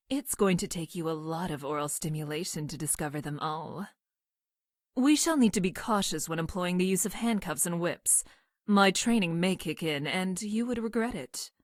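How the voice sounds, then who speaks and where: An actress voicing a coldly clinical scientist from the future in a video game, with deadpan, formal, precise diction. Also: female voice, fairly low pitch, dry recording, clean studio sound, close to an everyday voice. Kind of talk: scripted video game character lines.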